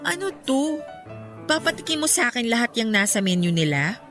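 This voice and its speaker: A woman playing a sweet, bashful young girlfriend in a radio drama, polite and expressive. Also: fairly high pitch, normal speed, faint music behind. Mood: surprised, fussing.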